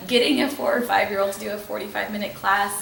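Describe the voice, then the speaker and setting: A woman's voice: medium pitch, steady tone, fast, gravelly, a bit bright, recorded from a distance, slight background noise. A woman, a dance instructor, chatting casually on a podcast with emphatic, enthusiastic affirmations. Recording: echoey room, voice a bit off-mic, faint ambience.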